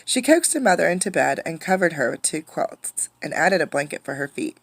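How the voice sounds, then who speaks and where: Female teacher for picture-book narration: lowish pitch, dry close sound, expressive, questioning. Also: gravelly, no room sound. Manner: expressive, pausing to ask children questions. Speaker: teacher reading aloud. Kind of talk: interactive storybook read-aloud.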